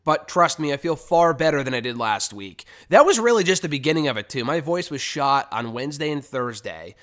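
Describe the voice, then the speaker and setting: A man's voice: fairly high pitch, very animated, brisk, fairly bright tone. A young man with a cold-stuffed, congested voice hosts his weekly web show alone. He rambles along in a loose, conversational way and drops casual swear words. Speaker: podcast host. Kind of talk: casual solo webcast.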